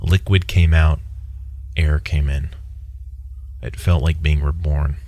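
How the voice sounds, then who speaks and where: A man narrating a first-person horror story in a measured, dramatic way. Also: extremely low pitch, steady tone, noisy background. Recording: loud music under the voice. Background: loud music.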